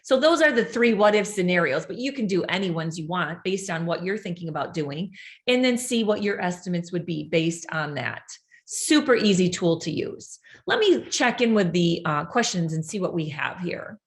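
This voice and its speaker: A woman giving an informational presentation, brisk and upbeat, explaining things step by step and answering audience questions. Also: on the low side, animated. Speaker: presenter of a benefits seminar.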